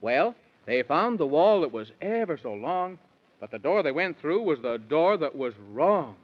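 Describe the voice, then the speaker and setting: A genial storybook narrator reciting in rhyme for children. The delivery is 1930s radio storytelling, on a dull, muffled old recording. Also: male voice, a little high, very animated, a little slow.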